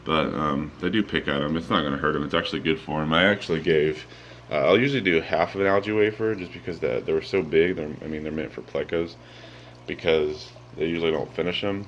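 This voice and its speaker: A man's voice: a bass voice, quick pace, lo-fi sound, slight background noise. A young man with a sleepy voice, rambling casually with lots of ums as he shows his fish tanks at home, filming on a handheld phone. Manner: relaxed, rambling with many ums. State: sleepy, just woke up. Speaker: aquarium vlogger. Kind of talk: casual walkthrough vlog. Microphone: handheld phone camera.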